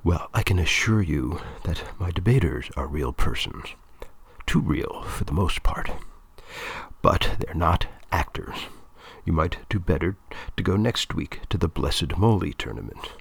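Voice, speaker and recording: A mild middle-aged debate coach as a male narrator plays him in an audiobook story. He is weary and understated, speaking low and softly with some gravel in the voice. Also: animated, unhurried, no echo, heavily acted. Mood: dry, weary.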